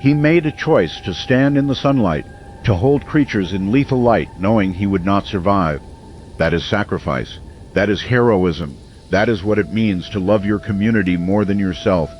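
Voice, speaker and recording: An actor playing a grave late-night radio host, reporting on a crisis in a solemn, measured and deliberate way. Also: male voice, on the low side, lightly acted. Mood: solemn, moved. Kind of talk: dramatic radio news narration. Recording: clear background noise, muffled lo-fi sound.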